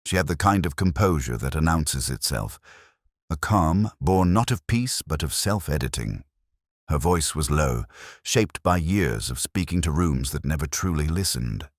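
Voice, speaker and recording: Guy reading a detective story, super deep voice, dry close sound, formal and measured. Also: animated, no echo. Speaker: narrator. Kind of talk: scripted first-person mystery narration.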